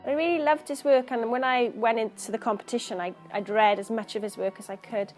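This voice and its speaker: A woman's voice: a little high, steady tone, brisk, darkish tone, soft background music. A woman poet from Wales, talking about her work in an earnest, thoughtful interview, searching for words as she goes. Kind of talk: reflective interview talk.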